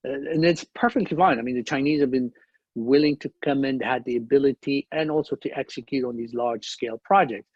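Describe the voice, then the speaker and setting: Husky-voiced man speaking on a dull video call. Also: medium pitch, no echo. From Ethiopia. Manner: measured, explanatory, with frequent restarts. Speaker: conference panelist. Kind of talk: panel discussion.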